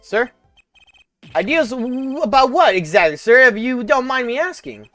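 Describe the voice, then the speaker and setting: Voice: mid-range voice, animated, normal speed, a bit bright, soft background music, voice pushed up, natural voice. A streamer voicing a jumpy young male rookie police officer who nervously ends every line with "sir", while reading game dialogue aloud. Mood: flustered.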